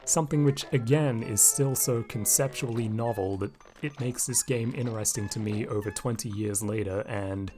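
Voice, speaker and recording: A man with a slightly raspy voice, casually commenting over gameplay into a desk microphone and thinking aloud with lots of asides. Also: lowish voice, normal speed, few pauses, warm tone, soft background music. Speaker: video game commentator. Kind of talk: casual let's-play commentary.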